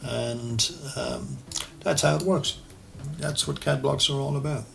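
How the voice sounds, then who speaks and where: An Irish man walks through a CAD drawing in a screen-recorded tutorial, talking things through as he goes and correcting himself. His voice is gravelly and bright. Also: mid-range voice, a little slow. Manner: relaxed, thinks aloud while working, self-correcting. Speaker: architectural designer and CAD tutor.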